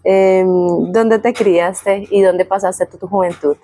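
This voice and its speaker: A woman's voice: medium pitch, steady tone, slow, warm tone. A woman asking informal prepared interview questions, hesitant and rephrasing as she goes.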